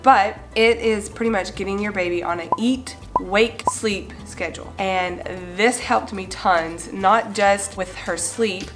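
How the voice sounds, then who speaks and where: A young woman in her twenties with a cold, a little raspy and nasal, chatting to camera about her babies in a casual home vlog, gesturing as she talks. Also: mid-range voice, fairly even intonation, measured pace, hardly any pauses, loud music behind the voice. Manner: chatty, gestures with hands while explaining. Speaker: mom vlogger. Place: bright living room indoors.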